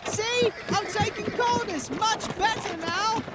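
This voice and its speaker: Over loud carriage clatter, an eager young driver in a fantasy audio drama speaks in a very high voice. He is slow and halting, cheerfully deferential and quick to apologise. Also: very noisy. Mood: sheepish, eager.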